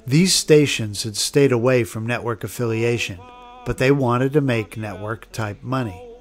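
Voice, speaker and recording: A man's voice: mid-range voice, unhurried, faint music behind. An American man reading scripted documentary narration.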